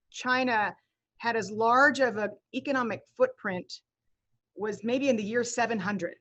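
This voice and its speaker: A woman interviewer and economist asking long, conversational questions, often hedging and restarting. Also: a little high.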